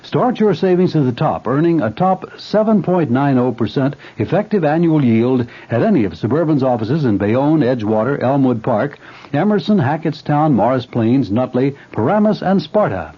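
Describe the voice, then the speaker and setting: A man reading a radio commercial in a brisk, persuasive way, on a dull old radio recording. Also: medium pitch, very animated, breathy edge. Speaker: ad announcer.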